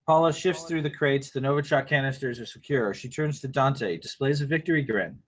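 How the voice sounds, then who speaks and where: A man narrating a script at a table read in measured, short phrases. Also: mid-range voice. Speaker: narrator reading stage directions.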